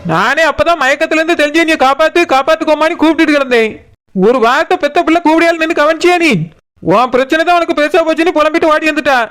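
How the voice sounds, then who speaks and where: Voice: high-pitched, a bit bright. A performer voicing an indignant, sarcastic village daughter in a comic cartoon, with a Kanyakumari Tamil accent. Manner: scolding, sarcastic. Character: indignant village daughter, scolding her mother.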